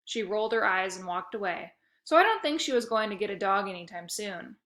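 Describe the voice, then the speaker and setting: A woman reading a children's novel aloud with a lively, expressive storytelling manner. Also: mid-range voice, brisk. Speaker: reader.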